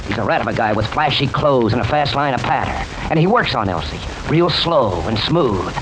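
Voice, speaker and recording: Actor as an animated, insistent juror storyteller. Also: male voice, mid-range voice, normal speed, lightly acted. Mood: persuasive, intent. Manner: emphatic, folksy, slangy. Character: insistent, imaginative male juror spinning a persuasive tale. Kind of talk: 1940s radio acting. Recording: noisy 1940s radio recording.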